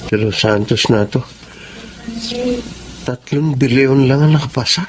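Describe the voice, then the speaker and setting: An actor playing an earnest, hard-luck young Filipino bachelor who dreams of teaching, in a radio drama style. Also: male voice, mid-range voice, unhurried, noisy background, lightly acted. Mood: anxious, hopeful. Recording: music bed under the voice.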